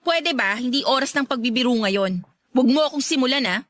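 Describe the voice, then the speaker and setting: A woman's voice: high voice, animated, brisk. A woman acting a feisty, disdainful young woman in a radio drama, scolding and haughty as she rebuffs a suitor. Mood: irritated. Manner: scolding, haughty, sharp-tongued.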